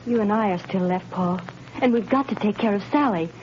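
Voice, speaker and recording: A woman's voice: mid-range voice, a little fast, darkish tone, a little hiss or hum, natural voice. A woman playing a loyal, hopeful wife and mother in a 1930s radio drama, tender and reassuring. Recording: dull old radio recording.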